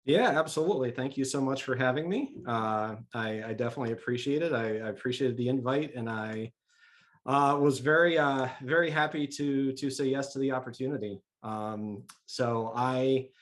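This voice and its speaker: A man with a mid-range voice giving an informal presentation on a video call. He speaks hesitantly, often restarting phrases and filling pauses. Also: medium pitch. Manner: hesitant, many restarts and fillers. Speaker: guest speaker and author.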